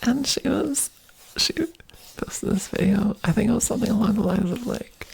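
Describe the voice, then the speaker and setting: A woman whispering in an earnest ASMR talk, breathy, with giggly asides. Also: mid-range voice, moderate pace, darkish tone, a little hiss or hum. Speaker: ASMR creator.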